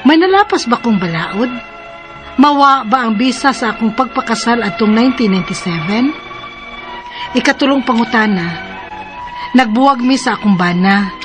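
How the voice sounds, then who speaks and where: A woman's voice: on the high side, close to an everyday voice. A woman acting a troubled separated mother who is pregnant and wants to remarry, in Cebuano-accented radio drama. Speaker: radio drama lead and letter narrator. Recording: music playing behind the voice.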